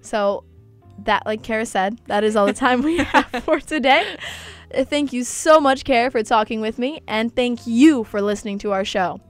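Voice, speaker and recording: A young woman whose first language is Polish, hosting a casual college radio talk show with a bubbly, chatty and animated way of telling stories. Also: a little high, normal speed, faint music behind. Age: young adult. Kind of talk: casual chat.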